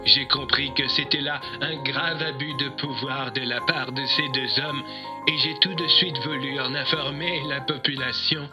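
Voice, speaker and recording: A man's voice: mid-range voice, fairly even intonation, normal speed, natural voice. Man playing a stilted, earnest young soldier, music behind. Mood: earnest, solemn. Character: young male soldier, overly earnest phone-in whistleblower.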